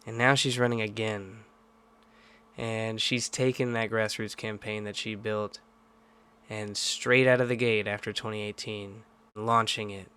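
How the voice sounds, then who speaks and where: A man talking politics in an urgent, emphatic way, hammering each point home. His voice is bright and forceful, with a smooth, crisp tone that stays clean throughout. He sounds like a commentator who has no patience left for half-measures. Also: on the low side, level tone, unhurried.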